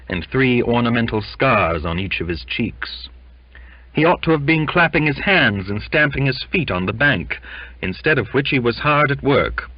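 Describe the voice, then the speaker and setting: A man narrating a literary audiobook in a brooding, theatrical manner with deliberate phrasing. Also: medium pitch, no echo. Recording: dull, lo-fi audio.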